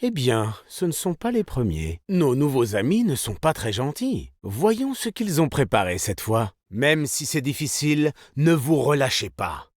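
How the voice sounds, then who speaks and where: An actor playing a suave, vain, elegant male weapons designer in a video game, with a theatrical, smug and courteous, smoothly ironic manner. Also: medium pitch, sing-song, a little slow, hardly any pauses, dry recording, studio quality. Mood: composed, confident. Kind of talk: video game character voice lines.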